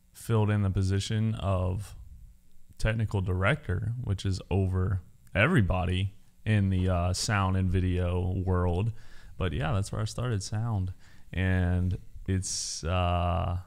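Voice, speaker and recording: A man telling a story in casual podcast chat, relaxed and full of fillers. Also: low voice, creaky. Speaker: podcast co-host.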